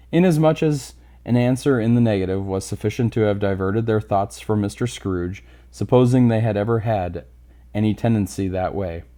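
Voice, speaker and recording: A man's voice: fairly low pitch, moderate pace, warm tone. A man reading a classic story aloud as a dramatic narrator.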